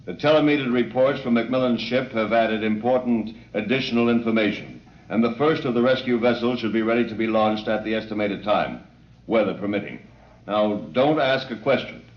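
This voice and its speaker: An actor playing a brisk, authoritative official addressing a press conference in a 1950s radio drama, formal and curt. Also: male voice, on the low side, natural voice. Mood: brisk, stern. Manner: formal, curt, businesslike announcing. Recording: echoey, distant, dull hall address.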